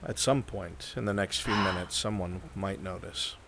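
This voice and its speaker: A man with a fairly low voice narrates a roleplay game as game master. He describes scenes to the player in a measured manner, with light background noise and rain behind him. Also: fairly even intonation.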